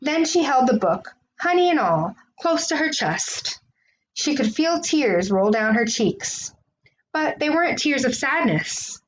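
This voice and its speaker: A woman reading a children's storybook aloud, with expressive, deliberate phrasing. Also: high voice, slight background noise, rough recording. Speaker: storybook reader.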